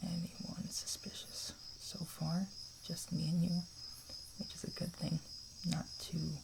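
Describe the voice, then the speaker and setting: A man playing a kind, protective camper in an ASMR roleplay, speaking in a soft, breathy half-whisper with a hesitant, reassuring manner. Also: fairly high pitch, monotone, slow pace, background noise. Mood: watchful, protective. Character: kind, protective young male camper comforting a stranger.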